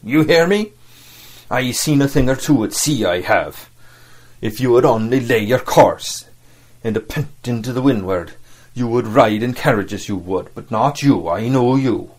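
A man's voice: medium pitch, expressive. A man voicing a sly, scornful, commanding sea cook for an audiobook, in speech with emphatic rustic phrasing. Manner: rustic sailor talk, emphatic, drawn-out delivery. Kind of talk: audiobook character acting.

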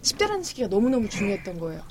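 A woman's voice: medium pitch, very fast, a little hiss or hum. A woman in her thirties, a guest author, talking in a casual, chatty way in an interview. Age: 30s. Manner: lively, chatty, laughs easily.

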